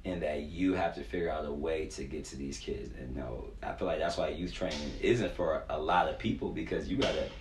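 A man in his twenties with a very low voice talks in a casual, slangy way, like a coach in an interview. The room around him is echoey, with a faint noise underneath. He comes across as earnest and informal. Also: a little fast, hardly any pauses. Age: 20s. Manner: informal, slangy, earnest, frequent "you know". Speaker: youth sports performance coach, interview guest.

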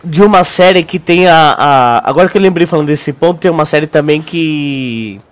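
A young Brazilian man with a fairly high voice talks casually on a podcast. His delivery is informal and slangy, with halting phrases as he searches for what to say. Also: clean tone. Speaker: podcast co-host. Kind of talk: casual chat.

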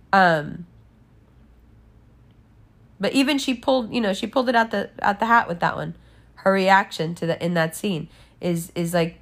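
A woman giving a candid personal monologue in a blunt, emphatic way, with frequent swearing. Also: on the high side. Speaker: podcast and channel host.

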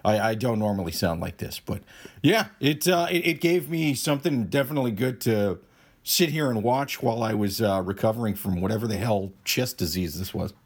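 A man's voice: lowish voice, expressive, a little fast. A man co-hosting a podcast with a bad cold, losing his voice, joking crudely and swearing. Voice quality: husky. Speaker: podcast co-host. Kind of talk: casual podcast chat.

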